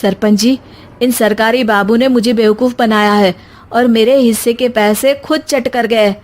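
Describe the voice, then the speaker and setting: A woman voicing a gentle, simple young village husband, mild in manner, in a moral story. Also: a little high, steady tone, measured pace, natural voice. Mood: complaining.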